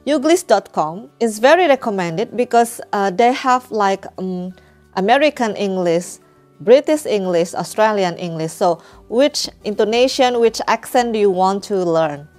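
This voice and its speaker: A woman's voice: mid-range voice, animated, unhurried, darkish tone, faint music behind. A woman in her thirties from Indonesia with an Indonesian accent, hosting a channel and teaching English in a friendly, anecdotal way. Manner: friendly, anecdotal, with easy laughs and restarts. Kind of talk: casual teaching talk.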